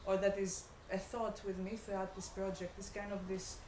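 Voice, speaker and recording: A woman from Greece with a Greek accent, a non-native English speaker, answering interview questions reflectively and haltingly as she searches for words. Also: mid-range voice, flat delivery, a little mellow. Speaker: artist being interviewed. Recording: echoey room with clear background noise.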